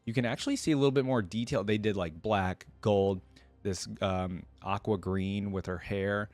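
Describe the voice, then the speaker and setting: A man's voice: lowish voice, fairly even intonation, normal speed, a little mellow, soft background music. A man giving casual, unscripted reaction commentary as a video host, enthusiastic and rambling with informal, slangy wording.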